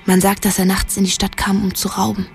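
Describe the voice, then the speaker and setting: A woman's voice: mid-range voice, monotone, fairly quick, creaky, darkish tone, faint music behind, natural voice. An actress playing a headstrong, secretive young woman in a German radio drama, telling things bluntly and conspiratorially. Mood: conspiratorial storytelling. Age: young adult.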